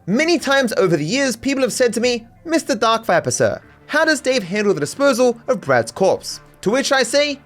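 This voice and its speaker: An Australian man reads a scripted comedic video essay in a high voice. His delivery is mock-serious and sarcastic, with very animated intonation. Faint music plays underneath. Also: normal speed, hardly any pauses. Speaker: video creator and narrator.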